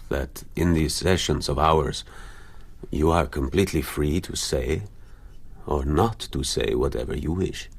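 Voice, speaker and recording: A probing psychoanalyst in a radio drama, with an extremely deep, creaky, husky voice. He is calm, formal and patient in his questioning. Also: measured pace, heavily acted. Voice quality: gravelly, husky. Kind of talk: literary radio drama acting.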